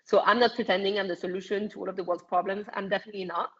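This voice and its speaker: A woman with a French accent speaks bluntly and passionately in a mid-range voice, often pausing on ums. Her answers come over a video call with slightly muffled audio. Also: mid-range voice, fairly even intonation, breathy edge. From France. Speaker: activist guest. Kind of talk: casual interview answers.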